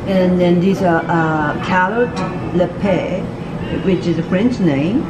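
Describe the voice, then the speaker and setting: Restaurant staffer, careful and pausing, distant and roomy. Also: female voice, on the low side, lo-fi sound, very noisy. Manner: explains with many pauses. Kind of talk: describing dishes. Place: indoor restaurant. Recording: roomy, distant, loud music.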